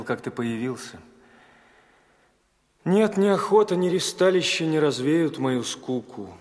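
Fairy-tale audio play: gracious young caliph, fairly high voice, noble and a little wistful, in reverberant space. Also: male voice. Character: young, kindly, melancholy caliph of noble bearing. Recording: long hall reverb.

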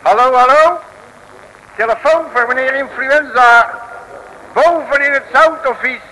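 High, bright, swaggering radio detective. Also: male voice, measured pace, full character voice, poor audio. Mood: scheming. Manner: folksy, swaggering, exclamatory. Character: brash, jovial, cheeky male Dutch detective. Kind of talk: 1950s-60s Dutch radio serial acting. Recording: old radio recording with faint noise.

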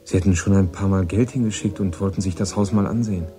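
A man's voice: low voice, fairly even intonation, quick pace, dark tone, soft background music, close to an everyday voice. An actor playing a polite, guarded eighteen-year-old American boy in a radio play, speaking with reserved hesitation. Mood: curious.

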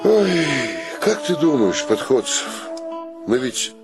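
An actor playing a sentimental, excitable bachelor friend in a radio play, gushing and moaning theatrically. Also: male voice, a little high, takes it slowly, over loud music, full character voice. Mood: mournful, peevish. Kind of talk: theatrical radio drama acting.

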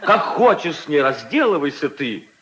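An actor playing a humorous gentleman declaiming a mock-tragic tale in a drawn-out, solemn way, in 1950s radio drama style. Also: on the high side, very animated.

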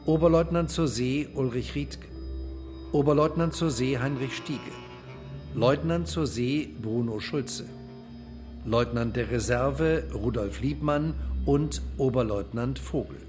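Man, medium pitch, formal factual narration, precise reading, documentary radio drama, faint music underneath. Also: slow.

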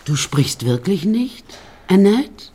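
A woman's voice: lowish voice, very animated, slow, dark tone, breathy edge, heavily acted. An actress playing a flamboyant, unsinkable woman who narrates her life in a radio play in a wry, chatty, theatrical way. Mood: coaxing, pleased.

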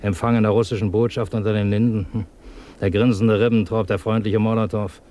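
A male actor as a hardened revolutionary and ex-officer in a radio play. The voice sits low and presses its case with sardonic insistence. A faint noise lies behind it. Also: flat delivery, brisk, mellow, natural voice. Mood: sardonic, emphatic. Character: hardened, persuasive communist agent, ex-officer, lecturing and sardonic. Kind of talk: German radio play acting. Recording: slight background noise.

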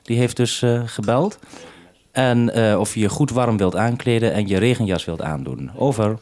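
A man's voice: on the low side, moderate pace, darkish tone, slight background noise. A man talking unscripted as a radio host over a two-way link, businesslike and explanatory with some hesitation.

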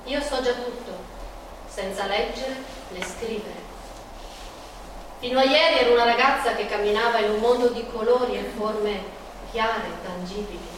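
An actress playing a young Mexican woman in pain, bitter and intense, in a dramatic, deliberate theatrical monologue. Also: fairly high pitch, noisy background, close to an everyday voice. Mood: bitter, melancholic. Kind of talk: theatrical radio monologue with music. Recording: echoey, distant stage sound with loud music.

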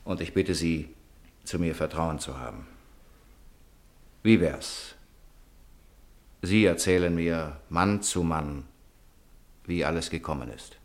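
Courteous gentleman writer in old radio play, deep voice, earnest and eloquent. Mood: earnest, determined. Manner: urbane, eloquent, measured. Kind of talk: 1950s German radio drama acting.

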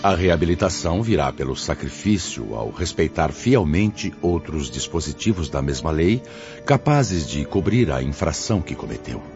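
Solemn old-school spirit elder, brazilian, super deep, stern but fatherly, muffled radio drama sound. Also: sing-song, exaggerated voice. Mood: solemn, admonishing. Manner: formal, measured, admonishing. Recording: dull lo-fi radio drama, music behind.

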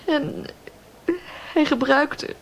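Young female student, high-pitched, creaky, halting, subdued, radio drama, faint noise. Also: steady tone, heavily acted. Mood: hesitant, confessing. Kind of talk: naturalistic Dutch radio play acting.

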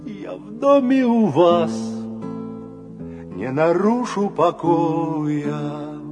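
A man's voice: on the high side, expressive, drawn-out words. A man singing a lyrical film romance to guitar accompaniment. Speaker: singer of a film song. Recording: loud guitar music with the voice. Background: plucked guitar accompaniment.

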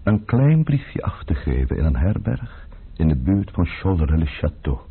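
An actor playing a grave, ominous letter writer in a radio play, speaking in measured phrases with a gravelly, husky voice. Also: male voice, deep voice, big pitch swings, exaggerated voice. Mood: scheming. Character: grave male letter writer warning of revolution and plotting. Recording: dull lo-fi old radio recording. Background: faint music.

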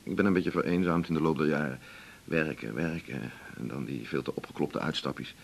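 Gravelly, low-voiced lonely, teasing man. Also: a little fast, full character voice. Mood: melancholy, probing. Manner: wry, confiding, short clipped phrases. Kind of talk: naturalistic 1980s radio drama acting.

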